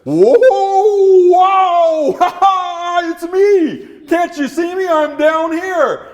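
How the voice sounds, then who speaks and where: A man voicing a boisterous, cheeky male dog leader who shouts and laughs excitedly. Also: extremely high pitch, extremely slow, pitched above the performer's own voice, full character voice. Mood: eager.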